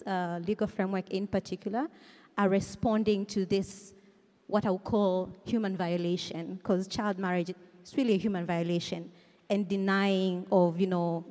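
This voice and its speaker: Woman lawyer, mellow tone, quick speech, long hall reverb. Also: mid-range voice. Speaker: lawyer, executive director. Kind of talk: speaking at a panel.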